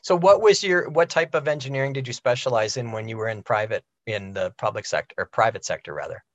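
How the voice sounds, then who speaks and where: A man's voice: medium pitch, fast, no echo. A man hosting an interview, asking friendly, loose questions with fillers. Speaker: interview host. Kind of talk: casual interview.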